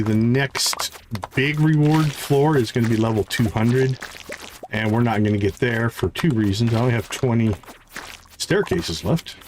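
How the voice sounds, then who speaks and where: A man hosting a game livestream with casual, unhurried commentary, thinking aloud as he plays. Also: mid-range voice. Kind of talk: casual live gameplay commentary. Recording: faint background noise with clicks.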